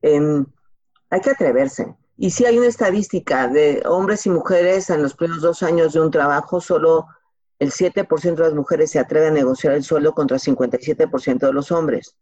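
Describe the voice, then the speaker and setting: A woman's voice: sits low, moderate pace, darkish tone. Mexican woman, colloquial, slightly dull recording. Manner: colloquial, illustrates points with examples and rhetorical questions. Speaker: podcast guest giving career advice. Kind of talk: casual conversational interview answers.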